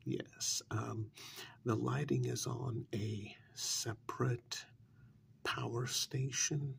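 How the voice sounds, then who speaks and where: A man playing a deferential, flustered supernatural manservant in a soft-spoken ASMR role-play, hesitant and apologetic, with a soft, breathy, gravelly voice. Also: medium pitch, takes it slowly, slight background noise. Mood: apologetic, explaining. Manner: hesitant, apologetic, obedient, explaining carefully.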